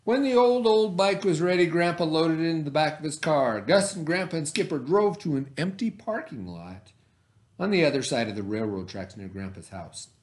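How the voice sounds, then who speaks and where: A man speaking as a teacher to children, expressive and encouraging, with dramatic emphasis and pauses. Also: a little high. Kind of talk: expressive read-aloud for children.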